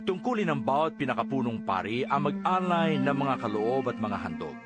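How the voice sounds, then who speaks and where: A man narrating scripture from a script in a measured, formal way. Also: medium pitch, big pitch swings. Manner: measured, formal read delivery. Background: background music.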